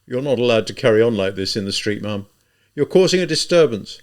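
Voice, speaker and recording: A man's voice: medium pitch, expressive, a little fast, lightly acted. A man playing a blunt, stern policeman. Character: gruff male street policeman.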